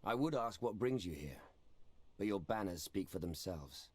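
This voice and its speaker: Tired noble knight in a game, lowish husky voice, serious. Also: male voice, animated, moderate pace, no room sound. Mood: grave. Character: weary, principled male knight and peacekeeper. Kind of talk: video game cutscene acting.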